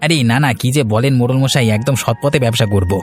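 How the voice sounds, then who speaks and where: A man's voice: mid-range voice, fast, soft background music, close to an everyday voice. A man voicing a pushy, shifty village schemer in a cartoon, by turns wheedling and bullying. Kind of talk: cartoon story dialogue.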